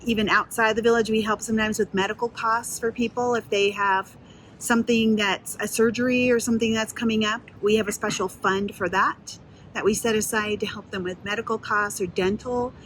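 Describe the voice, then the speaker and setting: A woman's voice: fairly high pitch, fairly even intonation, fairly quick, a bit bright, rough recording. Conversational woman with faint background noise. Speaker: missionary. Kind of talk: casual promo video interview.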